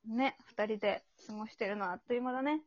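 A woman plays a coy woman out on a date in an amateur romantic radio drama. She speaks in a fairly high voice, hesitant and noncommittal. The sound is close and dry but slightly dull. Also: fast, dry recording, natural voice. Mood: sweet, agreeable.

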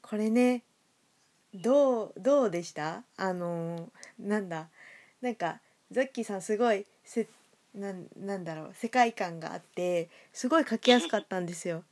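A woman speaks at a middle pitch as the host of an audio drama show. She chats casually with her guest in a talkative, conversational way. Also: moderate pace, darkish tone. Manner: chatty, frequent backchannels.

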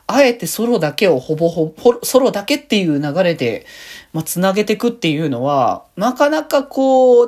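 A woman's voice: on the low side, a little mellow. A woman hosting a solo podcast in a casual, rambling way, as if thinking aloud. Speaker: host of a solo fan podcast.

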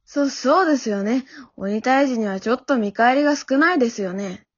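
A woman's voice: on the high side, fairly quick, natural voice. A woman playing a timid, frail young male dog who speaks politely and hesitantly, in an amateur comedy radio drama. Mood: meek, apologetic. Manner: hesitant, stammering, apologetic. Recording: dull lo-fi audio.

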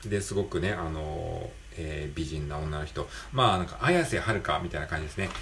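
Fast-talking, low-voiced rambling man. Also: fairly bright tone, a little hiss or hum. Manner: rambling, self-deprecating, digressive. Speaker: solo audio show host. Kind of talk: improvised casual monologue.

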